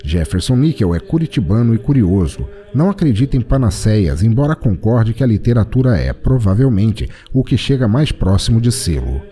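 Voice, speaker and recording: A man's voice: fairly low pitch, big pitch swings, normal speed, few pauses, warm tone. A Brazilian man hosting a podcast of short stories, speaking in a scripted, formal and literary way. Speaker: podcast host and story narrator. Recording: music behind the voice. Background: music.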